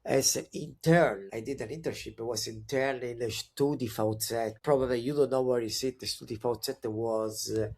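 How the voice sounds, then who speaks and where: A man's voice: medium pitch, normal speed, few pauses. A man in his forties from Italy, a native Italian speaker with an Italian accent, telling his career story as a guest in a conversational interview, hesitant with many fillers and restarts. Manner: upbeat and animated when excited. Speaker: podcast guest.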